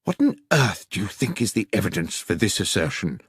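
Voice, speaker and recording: A man's voice: sits low, big pitch swings, fairly quick, slightly rough, no room sound, studio quality, exaggerated voice. A man voicing a grave, formal bishop in a mystery audiobook, questioning with dignity. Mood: grave, doubting.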